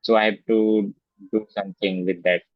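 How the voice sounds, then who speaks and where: Hesitant, low-voiced man. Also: flat delivery, dry recording. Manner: halting, hesitant, many fillers and restarts. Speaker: guest, English learner. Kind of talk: casual video-call interview. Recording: dull, dry video-call audio.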